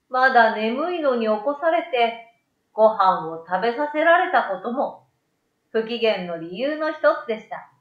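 Unhurried, expressive woman storyteller. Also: fairly high pitch. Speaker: story reader. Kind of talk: children's story narration, scripted reading. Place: small indoor room. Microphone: desktop condenser microphone. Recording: roomy, slightly dull sound.

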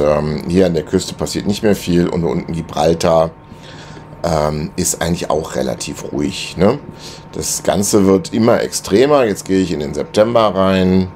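A man's voice: sits low, a little mellow, slight background noise. A middle-aged man vlogging from the cabin of his sailboat, explaining route planning in a chatty, colloquial way with many filler sounds. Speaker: sailing vlogger. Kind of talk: casual explainer over screen maps. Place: cabin of a sailboat at anchor, wind outside.

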